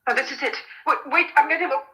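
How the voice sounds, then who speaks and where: An anxious, devoted farm woman in a radio play. Her voice is high and bright, her delivery emotional, halting and urgent. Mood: urgent, pleading. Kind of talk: radio drama acting.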